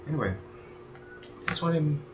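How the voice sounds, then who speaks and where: A man in his twenties thinking aloud in a casual video journal, halting with many fillers, restarts and casual swearing. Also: a little high, lively intonation, background noise. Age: 20s. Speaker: vlogger.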